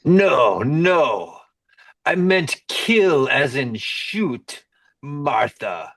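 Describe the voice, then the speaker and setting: Man playing a slow, grandiose mad scientist. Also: medium pitch, big pitch swings, a bit bright, voice pushed up, full character voice. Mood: exasperated, commanding. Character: grandiose male mad scientist bent on world domination. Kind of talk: amateur radio comedy sketch read-through. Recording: roomy echo, distant microphone.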